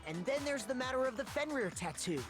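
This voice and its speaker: A woman's voice: sits high, lively intonation, fairly quick. A woman voicing an earnest, logical young student arguing a case in an amateur game dub. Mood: assured. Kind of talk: amateur voiced reading of game dialogue. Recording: game music behind the voice.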